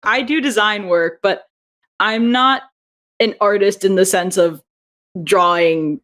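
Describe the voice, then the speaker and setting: A chatty woman with a middle-pitched voice talks through her creative process in a relaxed interview. She laughs easily and restarts her sentences often as she thinks aloud. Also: takes it slowly. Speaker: audio drama writer and director. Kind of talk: casual interview chat.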